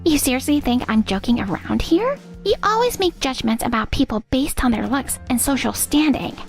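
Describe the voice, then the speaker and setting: A woman acts out a proud young girlfriend from a manga dub who has had enough. Her voice is high and swoops dramatically. She is blunt and scornful. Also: very animated, moderate pace, soft background music. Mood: reproachful. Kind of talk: animated manga dub dialogue.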